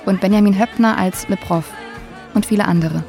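A woman's voice: medium pitch, steady tone, fairly quick, vocal fry, a little mellow. A woman reading scripted radio play credits as an announcer, with music behind.

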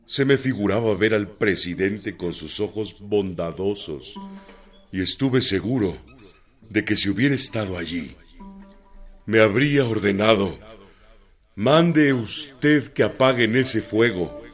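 A man playing a grave, articulate revolutionary-era army general in a radio drama, speaking in a solemn, measured, declamatory way. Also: lowish voice, animated. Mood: anguished, reflective. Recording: radio play with music underneath.

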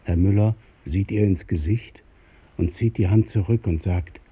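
A man narrating a radio play in a measured, literary way. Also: low-pitched, dark tone, husky, lo-fi sound, full character voice.

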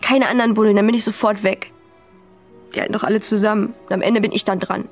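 A woman's voice: mid-range voice, fairly even intonation, fast, soft background music, lightly acted. An actress in a radio play playing a defiant, frightened young female petty burglar, distrustful and insistent. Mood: wary, defiant.

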